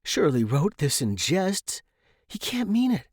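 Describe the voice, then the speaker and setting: A man voicing an earnest, agitated young Victorian gentleman scholar with a proper, emphatic manner, in an audiobook story. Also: high-pitched, very animated, a little slow, husky, no echo, studio quality, pitched above the performer's own voice, exaggerated voice. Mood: indignant.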